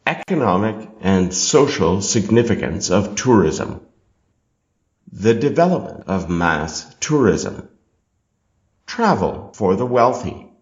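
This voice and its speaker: In a dull, echoey room, a man reads exam material from a script. His delivery is slow and deliberate, and his intonation rises and falls noticeably as he sets out each instruction. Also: lowish voice, darkish tone. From Canada. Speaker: exam passage reader. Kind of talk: scripted reading of test instructions and questions.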